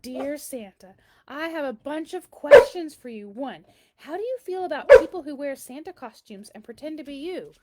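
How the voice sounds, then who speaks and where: A woman's voice: high voice, lively intonation, normal speed, very noisy. A woman voicing a curious, earnest seven-year-old girl reading out her letters in a children's storybook, eager and full of questions. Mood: earnest, questioning. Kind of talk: children's storybook read-aloud.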